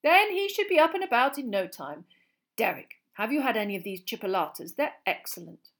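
A woman's voice: on the high side, sing-song, a little fast, fairly bright tone, pitched above the performer's own voice. A woman voicing a cheerful, courteous old male wizard headmaster in an audiobook reading. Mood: genial. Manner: genial and courteous. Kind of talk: audiobook character voice.

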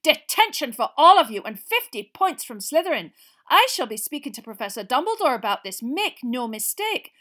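A woman voicing a stern older female professor who shouts clipped, scolding reprimands. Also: high voice, sing-song, normal speed, voice pushed up, exaggerated voice. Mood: furious.

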